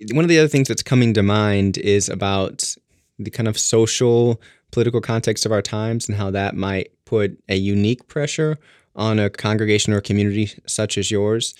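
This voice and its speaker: Low-voiced man interviewing, dry sound. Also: moderate pace, clear, resonant tone, no echo. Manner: careful, gentle questioning. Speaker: podcast interviewer. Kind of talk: podcast interview.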